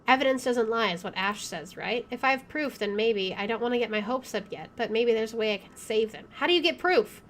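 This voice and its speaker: A woman's voice: on the high side, brisk, hardly any pauses, slight background noise. A woman doing playthrough commentary and reading game text aloud, with a playful, wisecracking manner. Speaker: video game let's player.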